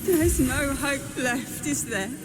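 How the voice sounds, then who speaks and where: A woman playing a despairing, suffering young mother in a fantasy game, crying and pleading in halting phrases. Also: high-pitched, noisy background. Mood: desperate, tearful. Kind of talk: scripted fantasy game drama.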